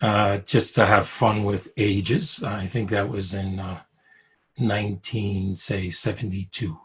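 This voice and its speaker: A man in his sixties from Brooklyn with a New York accent, telling his life story over a video call, with pauses, fillers and frequent soft chuckles. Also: lowish voice, steady tone, breathy edge. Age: 60s. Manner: unhurried, chuckles often, many pauses and fillers. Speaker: interviewee. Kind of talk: reflective personal storytelling.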